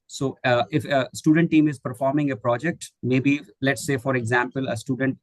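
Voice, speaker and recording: A man's voice: mid-range voice, a little mellow, dry recording. A middle-aged man from India whose first language is Hindi, answering questions in an online webinar, hesitant with many fillers and restarts. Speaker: guest speaker, English teacher trainer.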